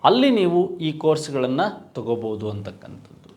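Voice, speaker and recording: A man's voice: mid-range voice, very animated, fairly quick, darkish tone. A man giving an exam-prep history lecture as a coaching teacher, explaining with rhetorical questions and repeating key terms.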